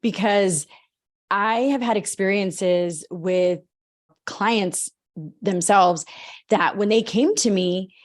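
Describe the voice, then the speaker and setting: A woman's voice: mid-range voice, slow pace. A woman hosting a podcast, eager and chatty, running her sentences together as she introduces a guest. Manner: eager, talks in long run-on sentences. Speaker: podcast host. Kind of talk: podcast interview, enthusiastic intro.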